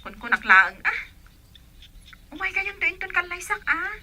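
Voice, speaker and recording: Actress as a shrill, bossy elder sister. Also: female voice, very animated, a little slow, pushed and bright, heavily acted. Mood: insistent. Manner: pushy, insistent. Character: bossy, nagging elder sister, brash and teasing. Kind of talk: radio drama acting.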